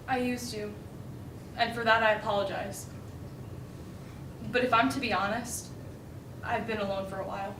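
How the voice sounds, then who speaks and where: A woman's voice: medium pitch, fairly even intonation, normal speed, pauses often, background noise, natural voice. A young woman acting in a stage play as Marie, a partner worn down by belittling, speaking with bitter emotion, heard from far off in an echoing hall. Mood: sorrowful. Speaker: student actor. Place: school stage. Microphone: distant audience camera. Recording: echoey stage recorded from far off.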